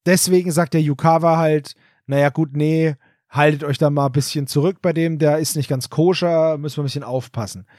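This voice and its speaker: A man's voice: a little high, fairly even intonation, brisk, darkish tone, no room sound, clean studio sound. Chatty man recapping a story. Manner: rambling plot retelling, loose and chatty. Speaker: podcast co-host. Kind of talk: casual episode recap.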